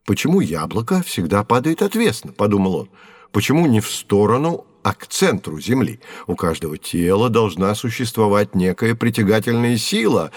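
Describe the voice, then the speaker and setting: An actor playing a patient, kindly physics professor who explains and gently corrects in a scripted educational audio drama. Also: male voice, on the low side, slightly rough, dry recording, studio quality. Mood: instructive.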